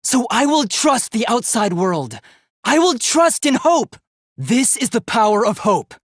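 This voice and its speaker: Casting: idealistic young robot for a dramatic game; youthful, faintly husky, formal and emphatic, very animated pitch. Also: male voice, unhurried, no echo, clean studio sound, heavily acted. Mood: fierce, defiant. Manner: earnest, formal, emphatic delivery. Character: earnest, determined young male robot, idealistic and emotional. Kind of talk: dramatic video game voice acting.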